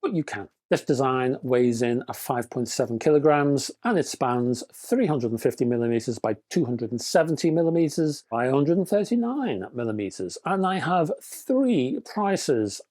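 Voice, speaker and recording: A man at a middling pitch presents hi-fi news, working through specs and prices in a steady scripted read. He drops in dry, wry jokes and calls his viewers folks. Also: moderate pace, few pauses. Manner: dry, wry humor between spec readouts; chatty, addresses viewers as folks. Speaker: hi-fi news channel host. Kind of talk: scripted news roundup with asides.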